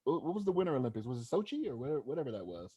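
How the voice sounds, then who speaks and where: A man's voice: medium pitch, very animated, racing, dark tone, husky, muffled recording. A man co-hosting a casual podcast chat, firing off teasing, incredulous questions. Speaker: podcast co-host.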